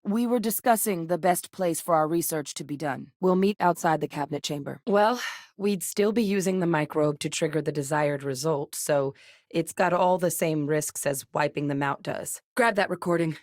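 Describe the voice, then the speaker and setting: A composed middle-aged woman played by a voice actress with a low-pitched voice, recorded very dry. She is an earnest scientist and war veteran in a space video game, articulate and measured in her delivery. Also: a little mellow, no echo, lightly acted. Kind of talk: scripted video game dialogue.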